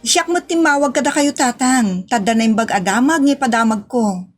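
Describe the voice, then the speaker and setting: Woman acting as high-voiced, anxious young sweetheart. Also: lively intonation, brisk, soft background music. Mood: respectful, happy. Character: wounded, proud, anxious young woman from humble family, in love. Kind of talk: radio drama acting.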